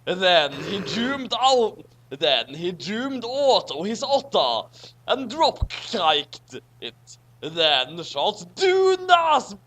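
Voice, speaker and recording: A man giving a halting, theatrical comedic reading of a story as co-reader. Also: high-pitched, big pitch swings, a bit bright, slight background noise. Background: music.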